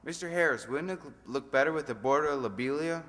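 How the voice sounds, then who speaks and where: A man's voice: a little high, expressive, fast, a bit bright. A man playing a polite, hesitant, deferential gardener in an old-time radio comedy. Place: amateur stage reading in a hall. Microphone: stand microphone. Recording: echoey stage in a large hall.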